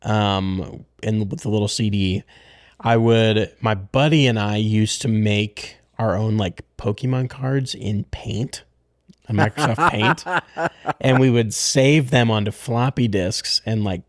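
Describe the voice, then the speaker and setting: A man in his thirties co-hosts a casual podcast, wandering through stories with plenty of "like" and "you know." His voice sits on the low side and comes across dry and close, with little room sound. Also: measured pace, no echo. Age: 30s. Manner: rambling, filler-heavy storytelling. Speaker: podcast co-host. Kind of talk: casual chat.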